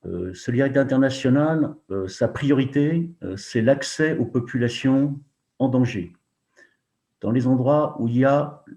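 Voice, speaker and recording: An older man, founder of a humanitarian organization, introducing himself on a webinar video call in a measured way, listing facts with frequent hesitations. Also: mid-range voice, warm tone. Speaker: founder of a humanitarian NGO, panelist.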